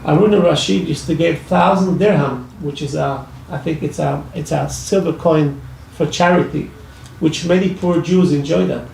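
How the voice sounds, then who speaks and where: Gravelly man with an Iraqi accent, echoey hall. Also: a little high, darkish tone, slight background noise. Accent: Iraqi Arabic. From Iraq. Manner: halting, many restarts and fillers. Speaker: speaker at a public discussion. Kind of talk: personal testimony at a talk. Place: library event room. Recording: echoey hall, mic from far off.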